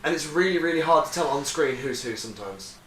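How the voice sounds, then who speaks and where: A man's voice: fairly high pitch, lively intonation, fast, fairly bright tone. A man giving casual fan commentary as he hosts a ranking among friends. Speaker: host presenting a ranking. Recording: echoey room, distant mic, faint noise.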